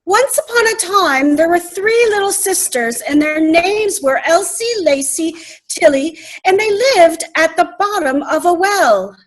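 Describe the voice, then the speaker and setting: Squeaky, drawling, sleepy little dormouse. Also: female voice, animated, poor audio. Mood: sleepy storytelling. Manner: slow, drawn-out. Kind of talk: readers' theater of children's classic.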